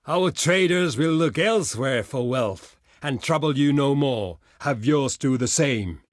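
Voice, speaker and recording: An actor voicing a proud, gruff barbarian warrior envoy in a video game, blunt and boastful, declaiming in archaic, formal phrases. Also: male voice, on the high side, dry recording, clean studio sound. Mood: grudging, dismissive. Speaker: diplomat character in a strategy game. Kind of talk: theatrical video game voice lines.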